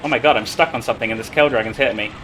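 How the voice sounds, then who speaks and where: A man's voice: medium pitch, very fast, a bit bright, a little hiss or hum. A man chatting casually and explaining his play live as he speedruns a video game. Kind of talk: live explanation while playing.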